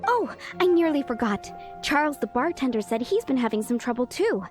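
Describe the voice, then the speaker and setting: A woman's voice: high-pitched, very animated, a little fast, soft background music. A woman voicing a polite, worried young shopkeeper in video game dialogue. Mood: pleading. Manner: polite, pleading.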